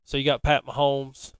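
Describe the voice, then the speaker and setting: A man's voice: medium pitch, clear, resonant tone, no room sound. An American man co-hosting a sports podcast, bantering casually in a teasing, argumentative way.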